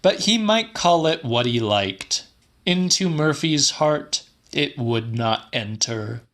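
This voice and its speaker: A man narrating a literary audiobook in a measured, deliberate and slightly dramatic style. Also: fairly high pitch, animated, fairly bright tone.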